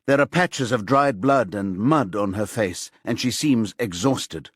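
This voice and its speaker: A man reading scripted thriller narration as an audiobook narrator, with measured, suspenseful storytelling. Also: lowish voice, husky, no room sound, clean studio sound.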